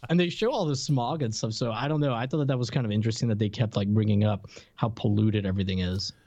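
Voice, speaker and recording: A man's voice: on the low side, quick pace, dark tone, no room sound. A man co-hosting a podcast, talking casually and informally as he reviews old cartoons. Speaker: podcast co-host.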